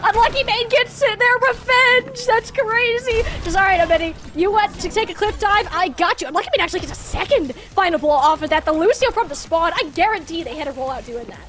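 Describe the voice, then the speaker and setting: A woman doing animated live esports play-by-play commentary. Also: very high-pitched, fairly quick, few pauses. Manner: animated, exclamatory.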